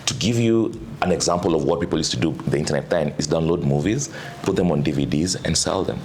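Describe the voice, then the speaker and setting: A man in his thirties, an investor chatting casually as an interview guest, relaxed and full of fillers. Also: very deep voice, quick pace, slight background noise. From Kenya. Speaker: venture capital investor, guest.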